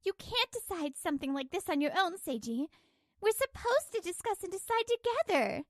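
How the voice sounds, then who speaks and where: A woman's voice: very high-pitched, big pitch swings, moderate pace, no room sound, clean studio sound. A woman voicing an exasperated, aggrieved working wife in a scripted manga dub, narrating and arguing. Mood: exasperated, reproachful.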